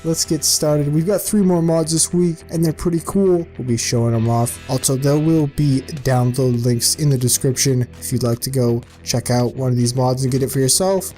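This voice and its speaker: A man's voice: mid-range voice, fairly even intonation, normal speed, hardly any pauses, warm tone. A man hosting a casual gaming video, talking informally and in a rambling way as he presents and comments. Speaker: video host presenting game mods. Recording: music playing behind the voice. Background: music.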